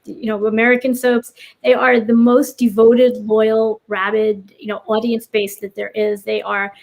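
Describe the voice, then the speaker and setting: A woman with a somewhat high voice talks as a writer being interviewed. She is earnest and conversational, rambling a little as she thinks out loud. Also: little pitch movement, a little slow, gravelly. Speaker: writer, podcast guest. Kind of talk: casual interview chat.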